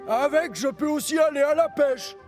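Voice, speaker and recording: Un comédien doublant une locomotive-grue joyeuse qui rit de ses propres blagues, dans un dessin animé. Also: male voice, very high-pitched, moderate pace, darkish tone, soft background music. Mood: cheerful. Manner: chuckling at his own jokes. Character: cheerful male crane engine, jokey. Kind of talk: cartoon dub acting.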